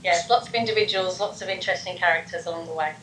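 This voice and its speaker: A woman's voice: on the low side, fast, a bit bright. Middle-aged London woman, echoey and distant. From London, England. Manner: friendly, hesitant with ums, lists things. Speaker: interview guest, personality test practitioner. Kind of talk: casual interview. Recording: echoey room, faint noise, off-mic.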